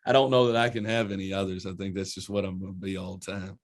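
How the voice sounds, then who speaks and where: A man's voice: sits low, level tone, fast. A man in his forties with an Eastern Kentucky country accent, a college basketball head coach answering interview questions in a folksy, earnest way that often repeats words as he restarts.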